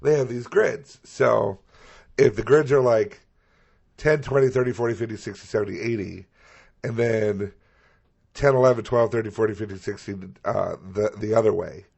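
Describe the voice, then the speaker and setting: A man with a low, froggy voice, noticeably hoarse and gravelly with a husky edge. He rambles through casual comedic chat as a podcast co-host and swears freely. Faint music sits in the background. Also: normal speed, darkish tone.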